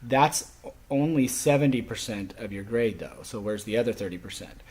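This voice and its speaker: A man speaking as a college instructor, explaining course rules to a class in an instructional way with frequent short pauses. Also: on the low side, animated, a little mellow, breathy edge. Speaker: college sign language instructor.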